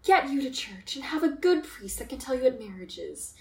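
A woman playing a meddling, scornful gentleman in a Shakespearean radio play, theatrical and admonishing, in an echoey room. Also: high voice, big pitch swings, normal speed, gravelly, exaggerated voice.

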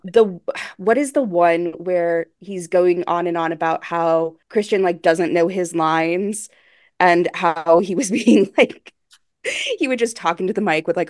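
A woman's voice: on the low side, dry recording, studio quality. A woman co-hosting a podcast, chatting casually with blunt, hyperbolic fan enthusiasm. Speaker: podcast co-host.

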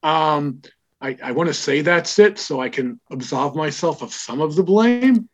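A man's voice: fairly high pitch, animated, husky. An older man born in Israel, a comic store owner, telling stories from his past in a wry, reflective, casual interview. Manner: reflective, wry, unhurried storytelling. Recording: dull lo-fi remote audio.

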